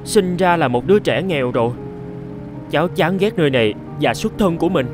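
A man plays a poor teenage boy in a cartoon folk tale. The voice is fairly high and earnest, dramatic and full of longing and resentment, with music underneath. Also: lively intonation, brisk, close to an everyday voice. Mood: wistful, eager. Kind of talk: animated moral fairy-tale dubbing.

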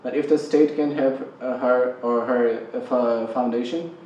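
A man speaking in a hesitant, halting way, with many fillers, on a panel. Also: medium pitch, steady tone, darkish tone, distant mic, dull audio, a little hiss or hum. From Pakistan. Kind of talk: personal discussion. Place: studio with panel on a couch. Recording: echoey studio sound from off-mic.

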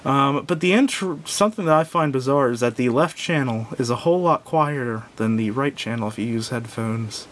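A man's voice: normal speed, hardly any pauses. A man giving a casual, unscripted hands-on product review in a hesitant, rambling, self-correcting way. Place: indoors at a wooden table. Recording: noisy home recording with low rumble.

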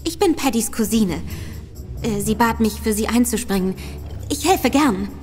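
An actress playing a confident, cunning female detective in a radio drama, speaking briskly. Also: fairly high pitch, expressive, darkish tone, faint music behind, natural voice. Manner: self-assured, brisk.

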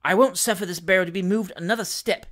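A man voicing a fussy, resolute, easily alarmed gentleman in a storybook reading, formal and indignant. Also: high voice, animated, quick pace, voice pushed up.

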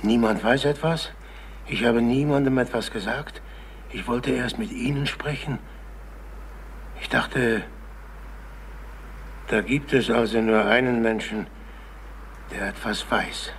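Halting, desperate middle-aged salesman, faint hiss. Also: mid-range voice. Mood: despairing, anxious. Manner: halting, agitated, repeating himself. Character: desperate, jobless middle-aged salesman, anxious family man. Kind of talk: German crime radio play acting. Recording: noisy old radio play recording.

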